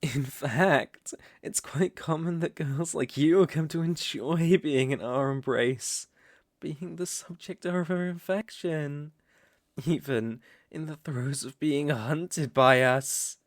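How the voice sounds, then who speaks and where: A man's voice: fairly high pitch, slow, dry recording, clean studio sound. A British man playing a seductive, domineering vampire lord in an intimate ASMR roleplay, with teasing, ornate, condescending speech. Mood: soft, seductive.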